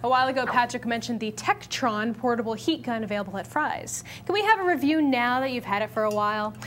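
A woman's voice: on the high side, fast talker, a bit bright, a little hiss or hum. A woman in her thirties with an American accent, casually presenting and reading aloud in an upbeat, playful manner as a show co-host. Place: TV studio at a desk.

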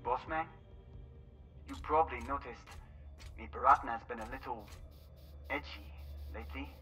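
A man's voice: mid-range voice, moderate pace, sharp tone, far from the mic, soft background music, lightly acted. An actor playing an easygoing, loyal and streetwise spaceship crewman in a video game, talking informally and confidingly. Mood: worried, confiding.

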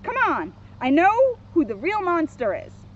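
A woman's voice: very high voice, sing-song, measured pace, dull audio, voice pushed up, exaggerated voice. A woman voicing a confident, clever young woman detective who explains things decisively, on a noisy outdoor recording.

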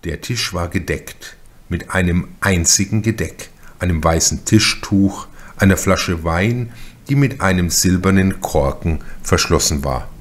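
A man reading a crime novel as an audiobook narrator, in a measured, deliberate manner with careful pauses. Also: low voice.

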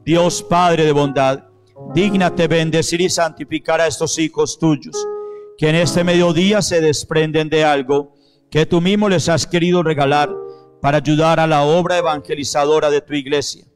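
Solemn priest over soft piano. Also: male voice, a little high, steady tone. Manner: slow, measured, solemn phrasing. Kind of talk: liturgical prayer and renewal of baptismal promises. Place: church sanctuary, at the altar. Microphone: handheld microphone. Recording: piano music under the voice. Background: soft piano music.

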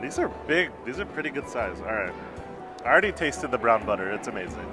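A man's voice: mid-range voice, sing-song, a little fast, music in the background. Enthusiastic man reviewing food. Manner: enthusiastic, gushing about food. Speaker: food vlogger. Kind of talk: casual restaurant review. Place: busy indoor restaurant patio. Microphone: lapel mic.

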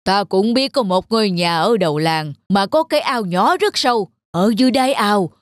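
Animated, boastful forest demon voiced by a woman. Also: medium pitch, moderate pace, no room sound, studio quality, close to an everyday voice. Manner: gossipy, scornful. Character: boastful male forest demons trading secrets. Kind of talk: children's folk-tale cartoon dubbing. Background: background music.

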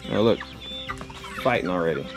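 A man's voice: low voice, animated, moderate pace, music in the background. A man in his thirties casually vlogging outdoors by a chicken coop, explaining his plans to the camera and his son. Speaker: homesteading vlogger. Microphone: handheld camera at arm's length.

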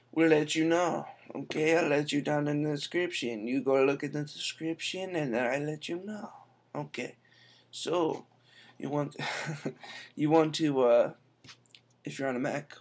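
A young man giving a casual, chatty tech tutorial, full of quick asides, recorded indoors on a laptop webcam mic. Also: medium pitch, vocal fry, darkish tone. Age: young adult. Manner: chatty, quick asides, says "I'm telling you". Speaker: tech vlogger. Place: indoor room.

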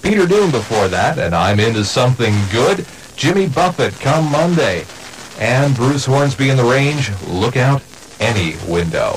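Male evening radio DJ, medium pitch. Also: slight background noise, low-quality recording. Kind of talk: conversational evening music radio patter. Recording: radio aircheck with faint music.

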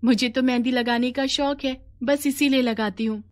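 A woman's voice: sits high, fairly even intonation, normal speed, a little mellow, voice pushed up, natural voice. A woman voicing an eager, tender-hearted young girl who paints henna, in a cartoon story. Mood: eager, modest.

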